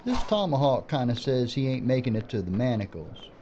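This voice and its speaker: A man's voice: medium pitch, fairly quick, highs cut off, very noisy, close to an everyday voice. A man playing a teasing marshal. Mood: wry. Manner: teasing, folksy, lively. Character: wry frontier federal marshal, talkative. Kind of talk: audio drama acting.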